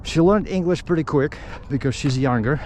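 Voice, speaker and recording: A middle-aged man from Russia with a Russian accent tells stories casually in English as a non-native speaker, haltingly searching for words. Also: a little high, a little mellow. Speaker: vlogger. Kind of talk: casual rambling storytelling. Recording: outdoor street noise with traffic.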